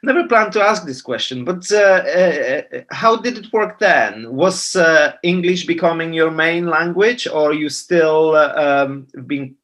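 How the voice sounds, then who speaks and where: A man's voice: fairly high pitch, lively intonation, unhurried, hardly any pauses, fairly bright tone. A man interviewing, asking questions with many hesitations and fillers.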